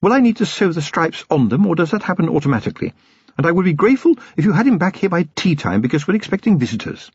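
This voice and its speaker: A commanding upper-class wife, acted out by a male reader, self-assured and briskly polite. The pitch is lifted and swoops freely, with a slightly husky edge. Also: no room sound, muffled recording. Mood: stern, brisk. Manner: calm, confident, imperious and polite.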